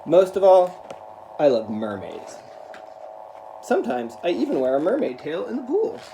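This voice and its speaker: A man speaking to children in an earnest, careful, deliberate way, as in a read-aloud. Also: a little high, big pitch swings, creaky, noisy background. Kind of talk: read-aloud of a children's picture book with spoken introduction.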